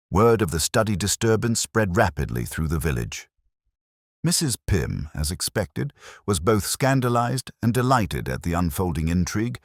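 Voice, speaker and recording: Male audiobook narrator, mystery fiction. Very low voice, lively intonation, formal and measured delivery, dry close sound. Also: dry recording. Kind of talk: scripted mystery story narration.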